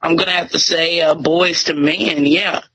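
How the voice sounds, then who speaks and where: A woman's voice: low-pitched, steady tone, normal speed, fairly bright tone, husky, rough recording. A young woman, talking in a laid-back, slangy way as a radio host being interviewed. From Tyler, Texas. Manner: laid-back slangy talk, many fillers. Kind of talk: casual interview chat.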